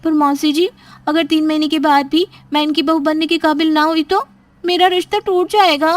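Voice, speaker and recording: Plaintive, high-pitched young daughter, acted by a woman. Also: steady tone, normal speed, close to an everyday voice. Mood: worried. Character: aggrieved young unmarried daughter, pouty. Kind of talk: scripted story dialogue.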